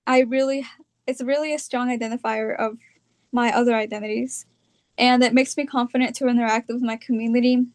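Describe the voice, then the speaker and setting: Young Californian woman thinking aloud. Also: fairly high pitch. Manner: hesitant, thinking aloud with fillers. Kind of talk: reflective personal talk.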